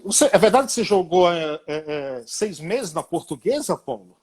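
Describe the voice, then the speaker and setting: A man's voice: sits high, very animated, moderate pace, a little mellow, breathy edge. A man hosting an interview, asking questions in a chatty, enthusiastic way. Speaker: interview host. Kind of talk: casual interview chat.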